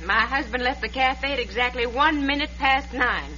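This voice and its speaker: An actress playing a loyal, plucky frontier wife with an American accent in a radio western. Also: female voice, sits high, normal speed, pushed and bright. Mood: firm, indignant. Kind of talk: 1940s-50s radio western acting. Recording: noisy muffled old radio recording, crowd behind.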